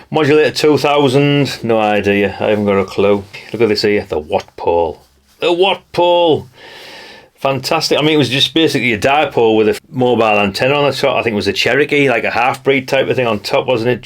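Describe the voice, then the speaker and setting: Low, gravelly North East English man. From North East England. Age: middle-aged. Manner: chatty, matter-of-fact, often ends remarks with tag questions. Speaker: vlogger. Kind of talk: casual rambling commentary.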